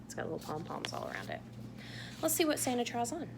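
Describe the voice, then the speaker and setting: A woman reading a picture book aloud to young children in a playful, animated way, asking exaggerated wondering questions. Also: fairly high pitch, quick pace, creaky, very noisy. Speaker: children's read-aloud host.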